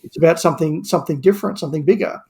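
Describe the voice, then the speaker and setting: A man's voice: on the high side, rapid-fire, a little mellow. A man discussing audio drama on a podcast, speaking emphatically and repeating words as he builds his argument. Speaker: podcast panelist.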